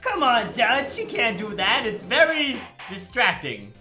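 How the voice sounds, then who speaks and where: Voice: mid-range voice, sing-song, a little slow, sharp tone, faint music behind. A performer voicing a brash, sarcastic defense attorney who rambles with goofy asides in a comedic video game fandub. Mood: wry.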